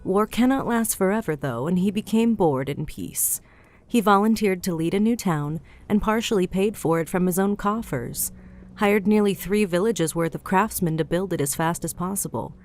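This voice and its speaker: A woman's voice: lowish voice, moderate pace, darkish tone, a little hiss or hum, lightly acted. A woman voicing a cheeky, flirtatious female goliath innkeeper in an audiobook, chatty and teasing, with a motherly streak. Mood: proud, storytelling. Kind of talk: audiobook character dialogue. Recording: music bed behind the voice.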